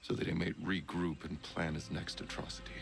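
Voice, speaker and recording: An actor playing a weary, philosophical comrade in a video game, with a wry, measured way of talking. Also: male voice, deep voice, level tone, creaky, music in the background. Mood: bitter, wry. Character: weary, philosophical male comrade consoling a friend. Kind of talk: dramatic video game acting.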